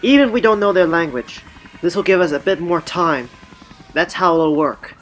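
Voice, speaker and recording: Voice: mid-range voice, moderate pace, faint music behind, lightly acted, poor audio. An actor playing a resolute commanding police officer, speaking bluntly and decisively in an amateur audio drama. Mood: determined.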